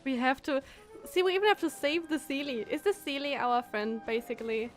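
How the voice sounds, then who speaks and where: A woman gives casual gameplay commentary as a streamer. Her voice is fairly high and she reacts emotionally and exclaims often. Faint game music runs behind her. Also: a little fast.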